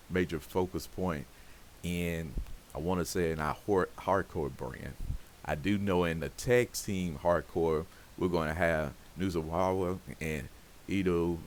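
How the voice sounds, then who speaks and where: A man with an extremely low, scratchy voice talks through his game in a casual, rambling way, stacking up "you know" and "pretty much" as he goes. A faint hiss sits under the recording. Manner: rambling, loose, full of fillers. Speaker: game streamer. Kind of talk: casual gameplay commentary.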